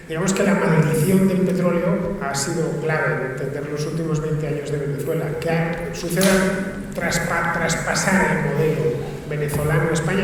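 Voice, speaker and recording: Man explaining calmly and analytically, far away mic, big echoey room, hiss. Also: a little high. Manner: measured, analytical, assured. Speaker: panelist. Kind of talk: round-table discussion. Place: large conference hall.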